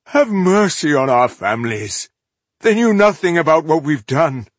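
A man's voice: fairly high pitch, sing-song, unhurried, no room sound, pitched above the performer's own voice. A man voicing a frightened male rebel in a fantasy audiobook, whining and begging tearfully. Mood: pleading. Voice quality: whining, sobbing.

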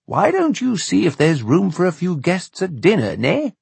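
A narrator voicing a regal, kindly man who speaks gently and affectionately, as an uncle in a storybook. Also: mid-range voice, huge pitch swings, moderate pace, gravelly, husky, no room sound, pitched above the performer's own voice, heavily acted.

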